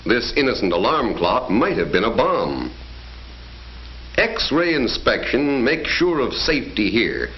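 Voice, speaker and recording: A man narrating a 1940s educational film in an emphatic, declamatory announcer style. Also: mid-range voice. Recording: dull 1940s film soundtrack with faint music.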